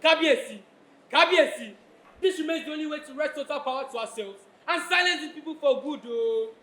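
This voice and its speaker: A very high male voice carries in an echoey theatre. An actor plays an ambitious, cunning chief who emphatically urges his ruler on, in stage-play style. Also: brisk, pushed and bright. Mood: urging. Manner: proverb-laden, emphatic. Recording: echoey stage, faint noise.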